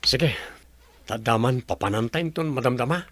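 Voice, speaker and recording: A man's voice: mid-range voice, animated, normal speed, dry recording, close to an everyday voice. A man acting in an audio drama as a nervous, deferential fellow who takes orders. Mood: furtive, casual.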